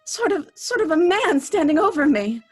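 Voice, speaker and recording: An actress playing a devoted, genteel young fiancée in old-time radio drama style. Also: female voice, extremely high pitch, sing-song, moderate pace, faint music behind. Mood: shaken.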